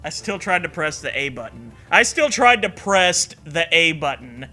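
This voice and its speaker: Bright, forceful man reading with mockery. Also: on the high side, sing-song, normal speed, soft background music. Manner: sarcastic, foul-mouthed, exaggerated. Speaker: co-host of a comedic read-along. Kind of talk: mocking dramatic reading with riffing commentary.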